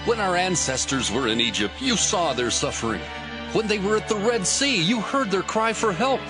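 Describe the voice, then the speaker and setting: High, sweeping impassioned Levite. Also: male voice, expressive, normal speed, music in the background. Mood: solemn awe. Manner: oratorical, solemn. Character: impassioned male Levite recounting God's deeds in prayer. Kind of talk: dramatized scripture reading.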